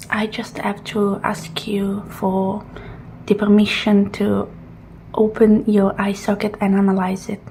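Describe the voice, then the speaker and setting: Soft, hushed woman doing ASMR roleplay. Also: mid-range voice, a little hiss or hum, poor audio. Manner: gentle, hushed, halting, reassuring. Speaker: ASMR performer playing a robot repair technician.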